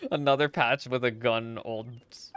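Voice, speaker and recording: A young woman giving casual, excitable gaming reaction commentary with teasing slang. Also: very deep voice, slow, slight background noise. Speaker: streamer reacting to a game.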